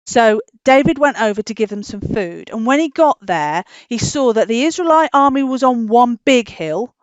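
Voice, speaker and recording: Female children's storyteller, higher-pitched, informal and animated, explaining simply; close, dry, slightly muffled recording. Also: moderate pace, dry recording. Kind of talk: informal storytelling to a puppet dog.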